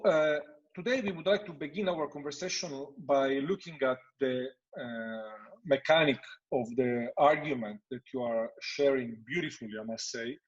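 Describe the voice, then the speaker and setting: A male host introducing a guest formally, pausing often with fillers. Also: mid-range voice, husky. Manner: formal, many pauses with uh. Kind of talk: formal introduction and interview questions. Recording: dull lo-fi sound.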